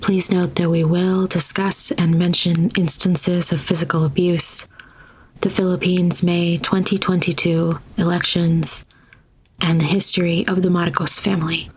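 A woman reading a scripted podcast introduction in a measured, formal manner. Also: on the low side, little pitch movement, a little hiss or hum, poor audio. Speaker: podcast host introducing the guest.

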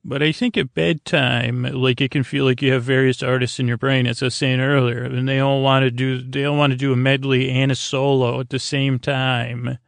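Creaky-voiced man rambling gently to lull listeners. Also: mid-range voice, flat delivery, no echo. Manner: meandering, halting, stammering, trailing off mid-thought. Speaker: podcast host. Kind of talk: rambling, soothing bedtime sleep-podcast monologue.

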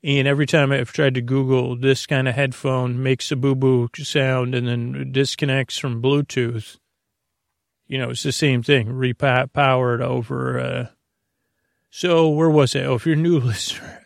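A man with a creaky voice hosting a bedtime podcast in a meandering, halting ramble that keeps interrupting itself and drifting off topic. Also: mid-range voice, steady tone, no echo, studio quality. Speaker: podcast host.

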